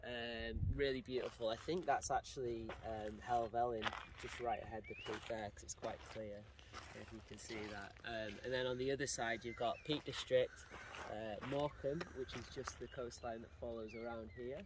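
Relaxed man with outdoor noise. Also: mid-range voice, moderate pace, hardly any pauses. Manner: relaxed, rambling, many fillers. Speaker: vlogger showing a campsite view. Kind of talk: casual outdoor vlog chat. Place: outdoors by an estuary.

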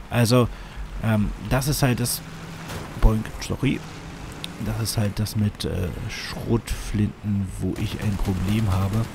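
A man's voice: on the low side, background noise. A man with a cold and a sniffle, rambling with many fillers while commenting on a game he is playing. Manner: rambling, hesitant, lots of fillers. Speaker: gaming YouTuber. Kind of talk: casual let's-play commentary.

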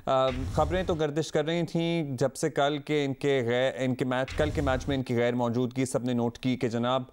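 A man's voice: mid-range voice, steady tone, normal speed, darkish tone, a little hiss or hum. A male news anchor in a TV studio, speaking conversationally and mixing in English phrases.

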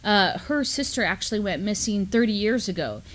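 A middle-aged woman co-hosting a news discussion in a conversational style, with halting, filler-heavy delivery. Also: mid-range voice, lively intonation. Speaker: co-host and university lecturer. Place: small studio, seated at a table.

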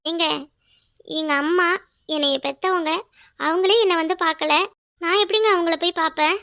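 Very high, plaintive young wife played by a woman. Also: normal speed, a bit bright. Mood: stubborn. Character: emotional, stubborn young wife, pleading and pouting. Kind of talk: domestic serial drama acting.

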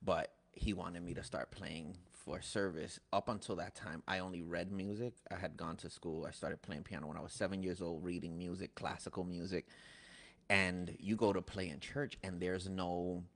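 A man in his thirties, co-hosting a casual chat and telling lively stories with frequent laughs, on a boom mic in a small studio. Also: moderate pace. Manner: animated storyteller, laughs often. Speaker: podcast co-host, church musician. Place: small indoor studio set. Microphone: boom-mounted studio mic.

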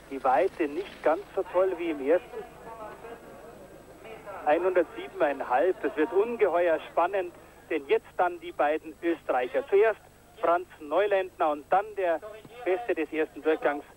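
A man giving live commentary on a ski jumping event, getting excited on big jumps, from an old broadcast tape. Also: fairly high pitch, unhurried, low-quality recording. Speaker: sports commentator.

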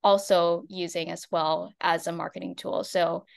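A woman from the United States co-presenting a webinar over a video call, earnest and a little halting. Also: fairly low pitch, flat delivery, gravelly, a little mellow. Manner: earnest, with some restarts. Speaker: social media marketer, co-presenter.

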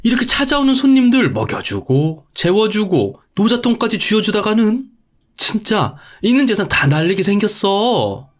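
A man's voice: high-pitched, big pitch swings, normal speed, voice pushed up. A man voicing an irritable, fed-up rich husband in a folktale, whining and complaining. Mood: exasperated grumbling. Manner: whiny, complaining.

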